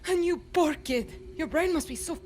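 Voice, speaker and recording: Furious high-pitched resistance fighter. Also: female voice, normal speed, a little mellow. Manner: impassioned, confrontational. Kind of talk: cinematic video game acting.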